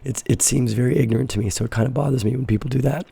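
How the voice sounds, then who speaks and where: A hoarse, raspy man in his sixties hosts a live stream and answers viewer questions in a blunt, fiery, profane way. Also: mid-range voice, steady tone, racing, warm tone, no echo. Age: 60s. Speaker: host of a live crypto trading show.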